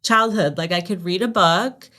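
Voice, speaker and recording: A woman's voice: fairly low pitch, normal speed, bright, forceful tone. A woman telling personal stories casually and animatedly as a podcast guest. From Los Angeles area, United States. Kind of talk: casual conversational storytelling.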